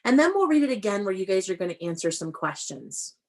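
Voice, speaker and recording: A woman teaching young children through a read-aloud, explaining in an animated way and often putting questions to them. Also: mid-range voice, fast talker. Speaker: elementary school teacher.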